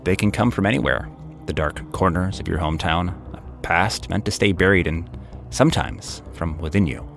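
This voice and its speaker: A man's voice: low-pitched, moderate pace, gravelly, soft background music. A man from Maine, a podcast creator and host, reading a scripted horror promo. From Maine, United States.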